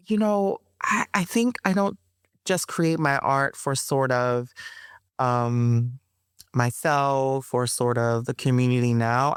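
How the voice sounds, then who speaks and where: A woman being interviewed as a playwright, talking in a chatty, animated way, with frequent fillers and bits of laughter. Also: a bass voice, clean tone, no room sound, clean studio sound. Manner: animated, chatty, addresses listeners as y'all. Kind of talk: casual interview conversation.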